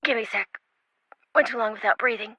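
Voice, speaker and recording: An actress playing an excitable, wisecracking young woman in a video game. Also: fairly high pitch, fast, breathy edge, dry recording. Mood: animated, joking. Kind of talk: scripted video game dialogue.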